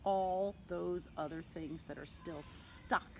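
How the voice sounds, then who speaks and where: A woman reading a picture book aloud to young children like a nursery teacher, expressively, with dramatic pauses and drawn-out words. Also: on the low side, fairly even intonation, gravelly, over music.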